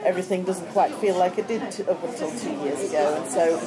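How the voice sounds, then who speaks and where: A woman's voice: on the low side, fast talker. Italian-born woman, sounds british, chatty guest, crowd noise behind. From Italy. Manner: chatty, self-deprecating. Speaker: guest, campaigner. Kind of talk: casual chat.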